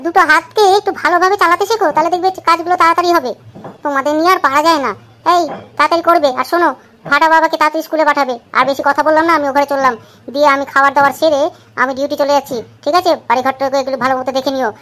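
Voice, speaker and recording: A woman playing a bossy working wife who gives brisk orders. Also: sits high, fairly even intonation, a bit bright. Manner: brisk, commanding. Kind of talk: comedy sketch acting.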